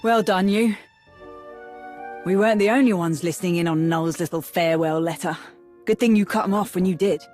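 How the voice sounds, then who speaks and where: A woman's voice: on the low side, fairly quick, long pauses, a little mellow, natural voice. A woman playing a wry, skeptical, no-nonsense agent in a video game, with a blunt, sardonic manner. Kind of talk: scripted game voice acting. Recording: music behind the voice.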